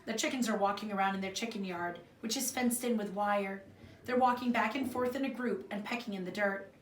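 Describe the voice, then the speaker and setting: An expressive woman reads a children's storybook aloud in the manner of a primary school teacher. The sound is roomy and a little distant from the mic, with faint noise behind her. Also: mid-range voice, a little fast. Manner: expressive, acts out the dialogue. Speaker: fourth grade teacher reading aloud.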